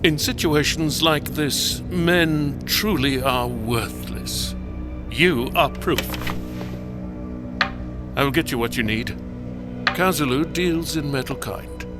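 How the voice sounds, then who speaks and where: A man's voice: on the high side, takes it slowly, pauses often, over loud music. A man playing a cold, authoritarian commander in a sci-fi audio drama, speaking with clipped, contemptuous formality. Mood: stern, commanding. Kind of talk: science fiction audio drama acting.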